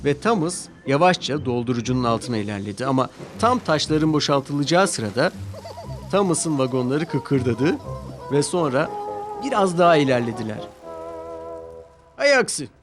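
A man narrating a children's cartoon storybook-style, with clear and expressive delivery. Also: medium pitch, normal speed. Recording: music playing behind.

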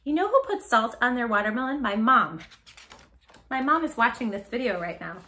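A woman in her thirties chatting to young children as a story time host, in an expressive, exaggerated, affectionate manner. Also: a little high, a little fast. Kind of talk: animated chat to young children. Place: living room sofa, indoors.

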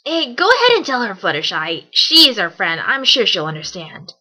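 A woman's voice: medium pitch, huge pitch swings, normal speed, bright, forceful tone, exaggerated voice, poor audio. A woman voicing a cocky young female cartoon pegasus pony, confident and casual. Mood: easygoing. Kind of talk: amateur cartoon fan audio drama.

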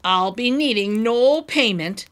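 Storybook old man, acted by a woman: kindly humble harper, unhurried, earnest, expressive pitch.

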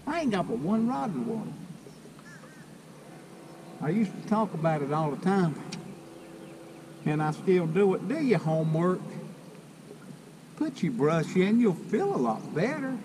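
A man's voice: on the high side, sing-song, unhurried, long pauses. Folksy older Southern man fishing from a boat. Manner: folksy, drawling, talks to himself while working the rods. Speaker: fishing video host. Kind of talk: casual talk to camera while fishing. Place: small boat on a lake, outdoors. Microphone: action camera mounted on the boat. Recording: outdoor lake ambience with clear background noise.

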